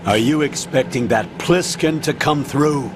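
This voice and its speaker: A man's voice: medium pitch, measured pace, music in the background, lightly acted. An actor playing a commanding male colonel giving curt orders over the radio in a video game. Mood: stern. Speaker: mission commander. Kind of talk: video game cutscene voice acting.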